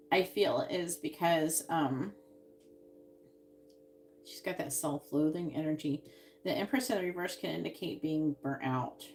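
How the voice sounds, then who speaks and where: A woman reading tarot cards on a live stream, musing out loud and trailing off with sighs. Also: on the low side, a little hiss or hum. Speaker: tarot reader.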